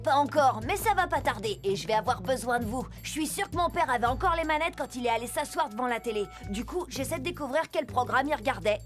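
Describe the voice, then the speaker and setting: A woman's voice: sits high, animated, fast, hardly any pauses, music in the background. A woman voicing a self-assured young girl leading an investigation, in a French cartoon dub. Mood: determined. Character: determined young girl detective, bossy.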